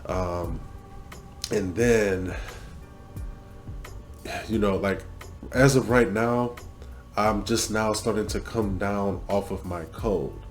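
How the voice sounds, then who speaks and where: A man's voice: fairly low pitch, slow pace, long pauses, faint music behind. A man hosting a reaction video in loose, rambling casual talk full of fillers and swearing, stuffed up from a cold. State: congested from a cold.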